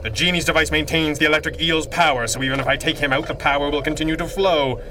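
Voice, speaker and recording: An actor playing a confident, wry masked crime-fighter in a pulp radio drama, measured and dramatic. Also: male voice, fairly high pitch, fairly even intonation, background noise, natural voice. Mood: grave, deliberate.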